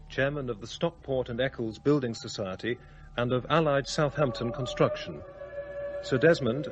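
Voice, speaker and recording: An actor playing a solemn radio news announcer reading an obituary in a formal, measured way. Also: male voice, mid-range voice, natural voice. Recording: dull band-limited broadcast, loud music behind. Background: music.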